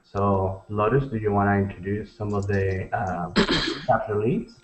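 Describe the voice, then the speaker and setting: A man, the show's founder, opening a casual online show with halting, filler-laden speech. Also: fairly low pitch, reverberant, low-quality recording. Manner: halting, filler-heavy intro.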